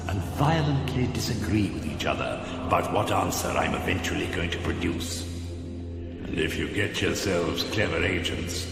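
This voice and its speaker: Radio comedy supercomputer: formal, drily condescending, widely varied intonation, echoing, music behind. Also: male voice, deep voice, lively intonation, moderate pace, gravelly. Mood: sly. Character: vast, weary, lofty male supercomputer. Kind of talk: radio comedy drama acting. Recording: echoey long reverb, music behind.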